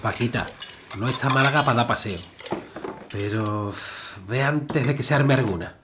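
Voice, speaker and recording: An actor playing a tender, admiring working-class husband in an audio drama. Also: male voice, medium pitch, moderate pace, close to an everyday voice. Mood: concerned. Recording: clear background noise.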